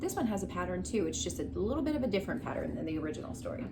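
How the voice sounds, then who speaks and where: A woman's voice: on the low side, very animated, very fast, very noisy. A young adult woman speaking as a teacher, giving an instructional story lesson for children and prompting listeners with questions. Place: small living room indoors. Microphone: camera a short distance away.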